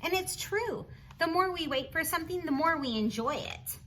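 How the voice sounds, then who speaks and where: A woman reading a picture book aloud to children in an expressive, encouraging, teacherly way, adding chatty asides and questions for the listener. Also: extremely high pitch, moderate pace, a little hiss or hum. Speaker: storybook reader for children.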